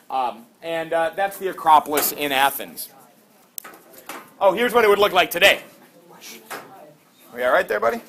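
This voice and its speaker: A middle-aged man teaching a class, lively and quizzing students with quick questions. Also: on the high side.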